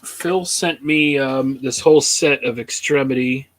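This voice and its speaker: A man's voice: mid-range voice, a little slow. A man in his thirties hosting a casual livestream hangout, laid-back, thanking viewers with plenty of ums. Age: 30s. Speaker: host.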